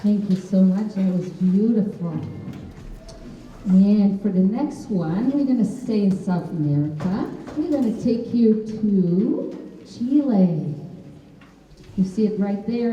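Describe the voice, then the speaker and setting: A woman talks the audience through the program in a relaxed, informal way. Her voice is dark and mellow, slightly raised in pitch, and picked up from a distance. The sound rings through a large, reverberant hall with a dull tone. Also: a little hiss or hum. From Israel. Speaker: concert host and bandleader. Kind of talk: introducing songs at a live concert. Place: library concert hall.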